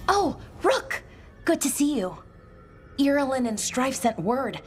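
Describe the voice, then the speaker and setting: Casting: a friendly, easily flustered female game mage, light higher voice, keen and talkative. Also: very animated, slow, faint music behind, full character voice. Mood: brisk, friendly. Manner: chatty, eager. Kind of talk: video game cutscene acting.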